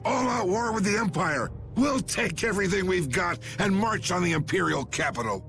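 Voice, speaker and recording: A man voicing a gruff, commanding old guild boss in an anime video game, gravelly, blunt and quick to anger. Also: high voice, lively intonation, a bit bright, exaggerated voice. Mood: furious, booming. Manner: blunt, swaggering, drawling. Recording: background music behind the voice.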